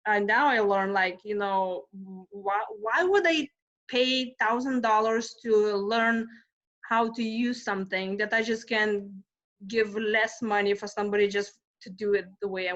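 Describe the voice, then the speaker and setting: A woman's voice: mid-range voice, a bit bright, lo-fi sound. A woman from Lithuania with a Lithuanian accent, a stress management coach chatting as a podcast guest, talking freely with many fillers, restarts and small laughs. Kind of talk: casual conversational interview.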